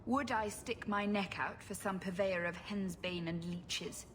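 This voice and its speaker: A woman's voice: medium pitch, fairly even intonation, unhurried, a bit bright, a little hiss or hum, natural voice. An actress playing a proud, protective refugee woman in a video game, speaking in a stern, curt way. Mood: protective, firm.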